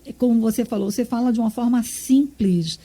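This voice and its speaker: Brazilian woman radio host, mellow voice, enthusiastic, slightly high. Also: brisk. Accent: Brazilian Portuguese. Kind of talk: radio interview.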